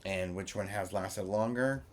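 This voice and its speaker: A man giving a casual, rambling review, halting and restating his points as he goes. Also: low-pitched, a little hiss or hum. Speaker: vlogger reviewing music formats.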